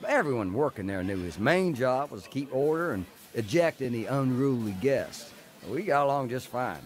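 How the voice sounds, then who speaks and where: Actor doing a plainspoken tough bootlegger type, lively pitch, radio drama storytelling. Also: male voice, moderate pace, lightly acted. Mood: wry, matter-of-fact. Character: tough male ex-criminal recounting his bootlegging youth. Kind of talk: dramatized first-person narration and dialogue.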